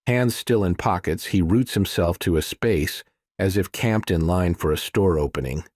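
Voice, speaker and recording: Male audiobook narrator, low-pitched, dry sound, wry measured delivery for action thriller prose. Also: darkish tone, no echo. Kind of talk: scripted thriller narration, present tense.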